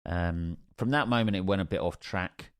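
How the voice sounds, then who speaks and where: A man's voice: sits low, normal speed, clean tone, no room sound, clean studio sound. A young man from Northampton with an English accent, a stand-up comedian telling a rambling, sweary story on his own podcast. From England. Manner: sweary, rambling, jokey asides. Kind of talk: casual solo podcast storytelling.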